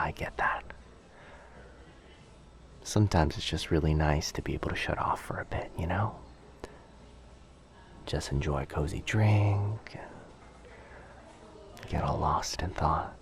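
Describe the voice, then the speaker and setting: Male actor as shy young coffee shop crush: low, creaky, soft and apologetic, intimate ASMR delivery. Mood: shy, tentative. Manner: hesitant, apologetic, halting, often dropping to a breathy near-whisper. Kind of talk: boyfriend ASMR roleplay, soft intimate acting.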